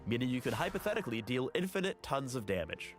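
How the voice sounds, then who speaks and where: A man narrates a scripted game guide with animated, swinging intonation. Music plays clearly behind his mid-pitched voice. His delivery is polished and instructional, with a light quip here and there. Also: fast talker. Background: background music.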